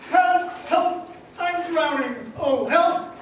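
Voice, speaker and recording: A man's voice: extremely high pitch, drawn-out words, slight background noise, heavily acted. A man playing an eager, innocent old apprentice angel in a live radio drama, earnest and chirpy. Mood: urgent. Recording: echoey theater stage from a distance.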